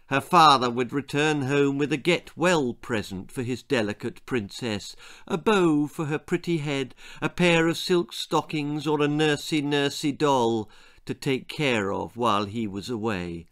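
A man's voice: fairly high pitch, fairly bright tone. A man in his sixties with a British accent, an author reading his children's story theatrically, relishing each grotesque detail. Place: small book-lined room indoors.

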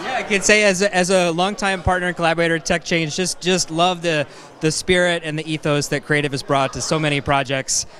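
A man's voice: a little high, fairly even intonation, a little fast, pushed and bright. A man in his thirties interviewing guests at a conference booth with a handheld mic, enthusiastic and encouraging. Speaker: interviewer for a conference live studio. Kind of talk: upbeat live event interview. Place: conference hall booth, indoors.

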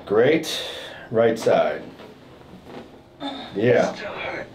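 A man's voice: fairly low pitch, lively intonation, extremely slow, darkish tone. A man in his thirties working as a chiropractor, giving short casual instructions and reassuring check-ins to a patient in a small treatment room. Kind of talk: casual hands-on talk with a patient.

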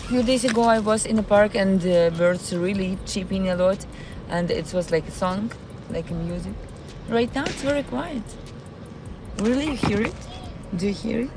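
A woman with a Ukrainian accent, live-streaming from a city park and chatting with her viewers in a halting way, often searching for words. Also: medium pitch, background noise. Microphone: phone.

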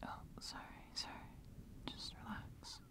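Whispering, sleepy girlfriend, acted by a woman. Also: close to an everyday voice. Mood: soothing, affectionate. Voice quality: soft, breathy whisper with some fry. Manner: slow, intimate, soothing. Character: tender, sleepy, teasing girlfriend cuddling her partner. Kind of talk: whispered ASMR girlfriend roleplay.

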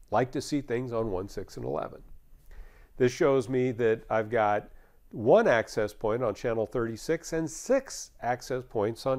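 American guy in his 50s doing a tech training demo, mid pitch, chatty step by step explaining. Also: darkish tone. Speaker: technical trainer. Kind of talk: instructional software demonstration.

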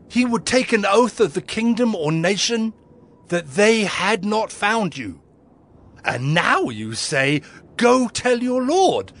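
An actor playing a frightened, devout palace steward in a dramatized audio Bible, pleading deferentially. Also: male voice, high voice, fairly bright tone, full character voice. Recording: music under the voice.

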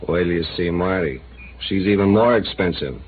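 A man's voice: sits low, normal speed, lightly acted. An actor playing a flippant, streetwise male reporter with a drinking habit in a 1950s radio drama. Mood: cagey. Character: cynical hard-drinking male newspaper reporter. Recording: tinny old radio broadcast with hiss.

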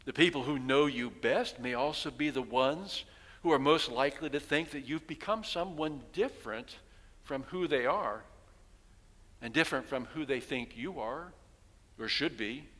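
A man's voice: medium pitch, normal speed, fairly bright tone. An older man in his sixties preaching a sermon from the pulpit, telling stories and asking his congregation rhetorical questions. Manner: storytelling preacher, rhetorical questions, voicing townspeople. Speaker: pastor. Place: large church sanctuary, at pulpit. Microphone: headset mic. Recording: long reverb of a church hall.

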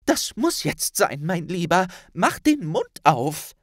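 A man's voice: high-pitched, big pitch swings, slow pace, no echo, clean studio sound, pitched above the performer's own voice, exaggerated voice. A man voicing a motherly, insistent woman, coaxing, in a storybook reading. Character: motherly, insistent plump red-haired woman.

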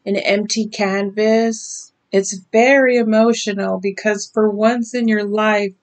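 Woman in her 30s, tarot reader, sleep-raspy voice, very slow deliberate delivery, camera at desk distance. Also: medium pitch, fairly even intonation. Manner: slow, emphatic, drawn-out warnings. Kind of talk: slow intuitive card reading to camera. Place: small decorated bedroom indoors.

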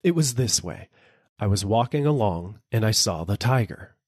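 A man's voice: on the low side, lively intonation, normal speed, darkish tone, no room sound, studio quality. A man voicing an anxious Brahmin in a storybook, imploring and insistent. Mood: explaining.

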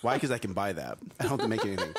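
This is a man, co-hosting a casual morning show into a studio mic. He is goofy and excitable, laughing often and calling out to viewers by name. His pitch jumps around a lot as he talks. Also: racing, noisy background. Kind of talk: casual chat, taste-testing snacks. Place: home studio set, indoors. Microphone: studio mic on boom with headphones.

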